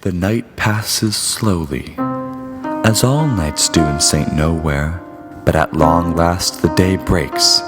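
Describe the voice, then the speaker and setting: Man as a very deep, ominous radio storyteller. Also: takes it slowly. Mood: grave, suspenseful. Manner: florid, portentous. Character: ominous, theatrical male storyteller of a noir radio serial. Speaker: host and narrator. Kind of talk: dramatic old-time radio narration. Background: loud music.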